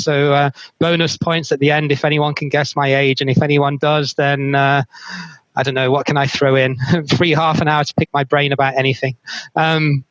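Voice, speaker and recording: British guy hosting a webinar panel, flat delivery, dry muffled call audio. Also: a little high, dry recording. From United Kingdom. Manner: relaxed, self-deprecating, with fillers. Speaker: panel moderator. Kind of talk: webinar introduction. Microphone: video call.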